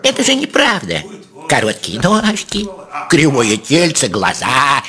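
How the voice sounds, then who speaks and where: A man's voice: medium pitch, huge pitch swings, unhurried, bright, forceful tone, full character voice. A man voicing a touchy young male ram poet in a cartoon, plaintive and dramatic in manner. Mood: disdainful. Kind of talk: cartoon voice acting.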